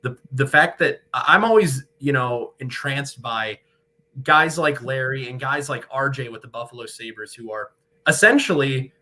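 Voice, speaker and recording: Bright-voiced young man, articulate. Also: mid-range voice, moderate pace. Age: young adult. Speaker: guest, radio hockey play-by-play broadcaster. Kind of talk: conversational interview answers.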